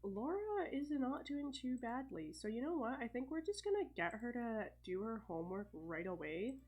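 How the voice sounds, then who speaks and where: A woman's voice: on the high side, very animated, normal speed, breathy edge. Chatty young woman with a stuffy cold. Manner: chatty, thinking aloud with fillers and soft laughs. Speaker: let's play host. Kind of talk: casual gameplay commentary.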